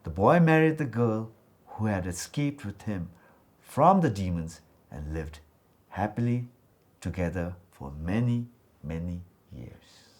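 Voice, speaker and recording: A man from Bhutan telling a scripted folktale for children in a dramatic, expressive way. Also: sits low, slow, slight background noise. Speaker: storyteller.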